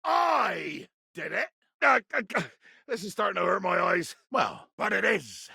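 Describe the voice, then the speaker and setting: A man playing a pompous, belligerent sea captain in a video game, with a raspy voice and a theatrical, grandiloquent delivery. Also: high voice, sing-song, unhurried, sharp tone, dry recording, studio quality, full character voice. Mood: triumphant. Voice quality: gravelly, raspy.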